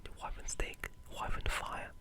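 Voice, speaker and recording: A video creator speaking in a breathy, unvoiced whisper, giving casual, rambling and enthusiastic ASMR commentary on gaming. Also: normal speed.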